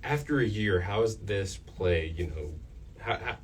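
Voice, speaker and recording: A man's voice: fairly low pitch, lively intonation, a little slow. A man hosting a live interview on student radio. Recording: distant mic with faint noise.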